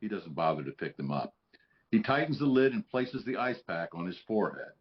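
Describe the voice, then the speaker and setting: A man's voice: low-pitched, animated, fairly quick, muffled recording. A man reading scripted stage directions as narrator at a live table read.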